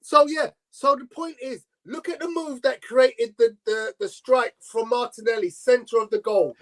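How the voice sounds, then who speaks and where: A man's voice: extremely high pitch, moderate pace, poor audio. A man calling the play and pushing back in debate on a live football watchalong.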